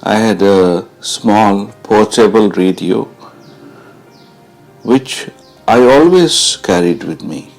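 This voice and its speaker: Male memoir reader: creaky, unhurried, measured first-person storytelling with dramatic pauses. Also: fairly low pitch, expressive, darkish tone, soft background music. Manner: measured, deliberate, with pauses between phrases. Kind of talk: dramatised scripted narration.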